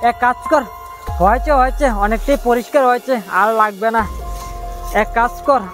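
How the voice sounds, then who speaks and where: A man's voice: very high voice, moderate pace, over music. A man playing an anxious village woodcutter and father in an amateur horror drama, speaking in repetitive exclamations. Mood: busy, instructing.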